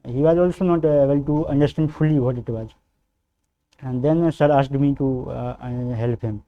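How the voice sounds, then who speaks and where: A young man from northern India, a native Hindi speaker with a North Indian accent, answering formal interview questions as a candidate in a halting, deferential way. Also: medium pitch, muffled recording. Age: young adult. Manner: hesitant, halting, deferential, often says sir. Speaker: civil service candidate in mock interview.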